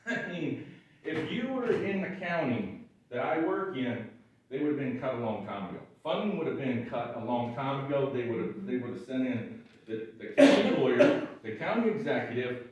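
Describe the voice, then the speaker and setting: A man with a gravelly voice giving frustrated, emphatic public comment at a county board meeting. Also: mid-range voice, expressive, normal speed, muffled recording, slight background noise. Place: large echoey meeting room. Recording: echoey room, voice far from mic.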